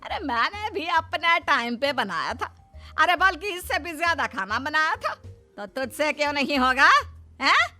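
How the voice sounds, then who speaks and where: High-pitched, nagging cartoon mother-in-law. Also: very animated, normal speed, sharp tone, faint music behind, exaggerated voice. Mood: scolding. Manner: scolding, taunting, exclamatory. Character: nagging, boastful mother-in-law forever scolding her daughter-in-law. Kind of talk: Hindi family cartoon voice acting.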